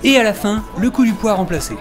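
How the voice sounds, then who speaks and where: Actor as a hesitant, explaining team member, mid pitch, music bed. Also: quick pace, lightly acted. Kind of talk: amateur audio drama acting.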